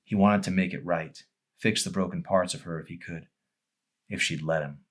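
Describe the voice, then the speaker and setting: Low voiced guy narrating a story, dramatic audiobook style. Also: moderate pace. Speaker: author reading own novel aloud.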